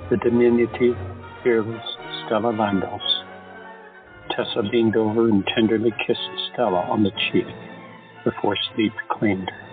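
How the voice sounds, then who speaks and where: Male narrator, audio drama, measured, lowish voice, phone-thin sound over clear music. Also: flat delivery, phone-quality sound. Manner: measured storytelling. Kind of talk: scripted narration.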